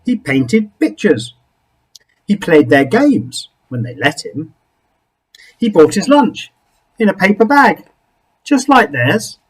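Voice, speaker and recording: A man, a rabbi, reading a picture book aloud to young children expressively, with dramatic pauses and small asides. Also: on the high side, breathy edge, rough recording.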